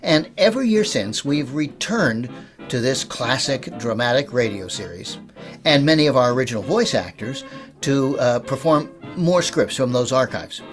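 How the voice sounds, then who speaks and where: A man's voice: medium pitch, animated, moderate pace, soft background music. A man speaking as a host, introducing a program and interviewing a guest. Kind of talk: podcast-style introduction and interview.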